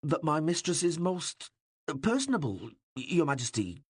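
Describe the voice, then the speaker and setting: A man's voice: a little high, wildly swooping pitch, a little slow, breathy edge, no room sound, studio quality, pitched above the performer's own voice, exaggerated voice. A male narrator voicing a nervous young male assistant who stammers and hesitates. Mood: flustered.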